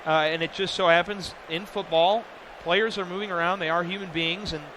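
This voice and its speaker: A man's voice: high-pitched, steady tone, fairly quick. An American man giving conversational, stat-minded color commentary on a football game for radio. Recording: noisy stadium broadcast background.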